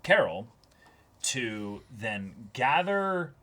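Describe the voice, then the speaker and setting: Male reaction-show co-host, casual and wry, bright voice with lively pitch swings. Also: very slow. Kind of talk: casual chat discussing an anime episode.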